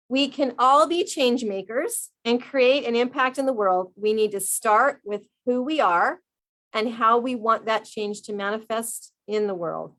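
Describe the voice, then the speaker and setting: An enthusiastic woman hosts a radio talk show with a fairly high voice. She reads a guest introduction and then moves into an upbeat interview. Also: a bit bright.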